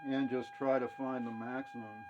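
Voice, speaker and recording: A man giving a casual hands-on technical demonstration, thinking aloud in a halting, matter-of-fact way. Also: medium pitch, little pitch movement, noisy background. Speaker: radio repair hobbyist demonstrating. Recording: dull lo-fi home video audio.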